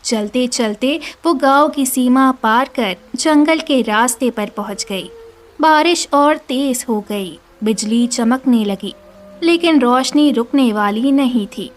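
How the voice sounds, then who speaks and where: A woman narrating an animated children's story as a scripted storyteller. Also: sits high, takes it slowly. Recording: music behind the voice.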